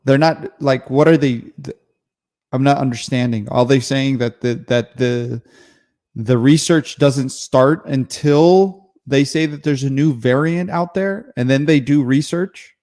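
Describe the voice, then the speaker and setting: A man's voice: medium pitch, a little slow, a little mellow. A man co-hosting a casual political podcast, incredulous and joking in an irreverent way. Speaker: podcast co-host.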